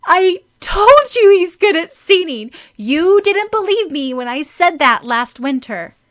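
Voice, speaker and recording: A woman voicing a boastful, excitable schoolgirl for an audiobook. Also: very high-pitched, measured pace, voice pushed up, full character voice. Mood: proud, boastful. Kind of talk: audiobook character voice.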